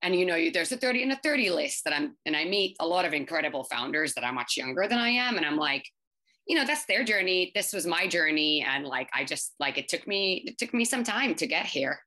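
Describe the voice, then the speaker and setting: Low-voiced woman founder, bright tone. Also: animated. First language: not English. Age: 30s. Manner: fast, filler-heavy, many restarts. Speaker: startup founder. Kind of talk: casual interview answers.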